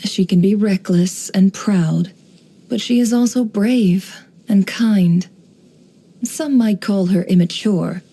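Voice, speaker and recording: An actress playing a shrewd, worldly woman who runs an intelligence business in a video game, speaking in a composed, wry, measured way. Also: medium pitch, mellow. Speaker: video game character voice actor. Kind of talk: scripted game character voice lines, monologue.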